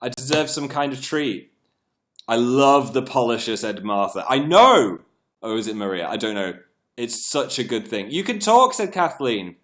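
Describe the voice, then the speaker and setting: A British man hosting a casual livestream, chatting in a rambling, self-mocking way with a slightly croaky, froggy voice as it recovers from being lost. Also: medium pitch, sing-song, normal speed, a bit bright. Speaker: live stream host.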